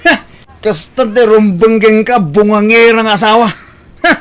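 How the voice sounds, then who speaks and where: A man's voice: high voice, unhurried, a bit bright, a little hiss or hum. A man with an Ilocano accent playing a belligerent, abusive husband in an audio drama, confrontational and taunting. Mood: taunting, angry. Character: belligerent, abusive husband who bullies his wife.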